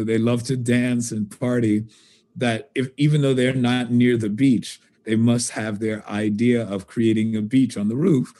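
A man from New York speaks with a slightly husky voice, recorded close and dry. He is a guitarist being interviewed, and he tells animated, rambling stories full of restarts. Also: mid-range voice, dry recording. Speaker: guitarist and composer. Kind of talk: casual interview conversation.

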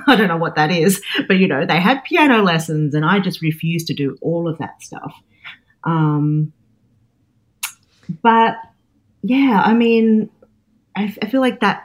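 Low-voiced Australian woman, reflective. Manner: thoughtful, many fillers and restarts. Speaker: guest, chef and cookbook author. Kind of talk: reflective interview conversation.

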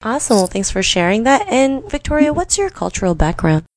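A woman's voice: on the high side, sing-song, fairly quick, no room sound, studio quality. A woman hosting an interview podcast, upbeat and encouraging, often saying "you know". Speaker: podcast host.

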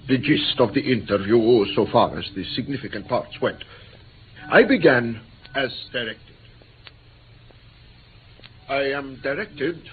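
An anxious interpreter recounting his ordeal in a radio play, with formal, precise phrasing. His pitch moves in lively sweeps, and the old recording sounds thin and tinny, with clear hiss. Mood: earnest, animated. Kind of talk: radio drama acting, animated storytelling.

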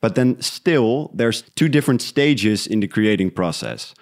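A man's voice: lowish voice, animated, measured pace. A man from the Netherlands talking casually and reflectively as an interview guest about creative work.

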